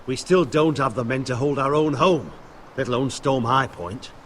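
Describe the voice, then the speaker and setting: Man playing a hard-bitten soldier in a game, blunt and scornful, mid pitch, faint background noise. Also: moderate pace, a bit bright, natural voice. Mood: pressing, grim. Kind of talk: video game drama acting.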